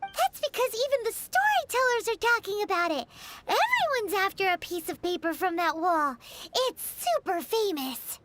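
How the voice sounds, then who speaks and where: Squeaky greedy little fairy sidekick from a video game, super high and bouncy, noisy recording. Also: female voice, very animated, moderate pace, fairly bright tone, exaggerated voice. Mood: eager. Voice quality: squeaky, childish. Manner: speaks of self in third person, exclaims often. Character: tiny childlike female fairy companion, excitable and greedy. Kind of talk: video game character acting.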